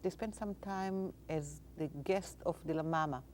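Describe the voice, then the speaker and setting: A woman from Israel, a theater teacher, speaking as a guest in an interview, measured and sometimes searching for words. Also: low-pitched, a little mellow, a little hiss or hum. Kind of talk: interview conversation.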